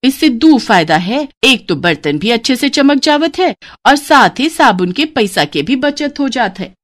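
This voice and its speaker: A woman's voice: a little high, very animated, measured pace, voice pushed up. A woman voicing a brash, cheeky young village daughter-in-law in a folksy, exclamatory way with a rustic Banaras accent, for a story. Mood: explaining.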